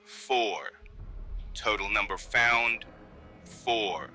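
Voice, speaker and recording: A man's voice: on the low side, drawn-out words, sharp tone, faint music behind, full character voice. A man playing a matter-of-fact park control room technician in a horror audio drama, clipped and procedural.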